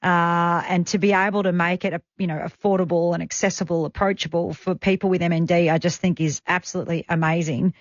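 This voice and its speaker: A woman's voice: fairly low pitch, little pitch movement, fairly quick, hardly any pauses, dry recording. A woman with an Australian accent hosting a live radio interview in a chatty, enthusiastic manner. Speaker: radio host. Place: radio studio. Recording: slightly dull radio broadcast audio.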